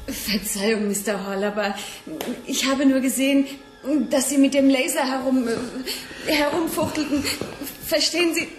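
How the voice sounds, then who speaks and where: A woman's voice: high voice, normal speed, over music, close to an everyday voice. An actress playing a polite, nervous female lab colleague in a 1980s German radio play, speaking hesitantly in an echoey room.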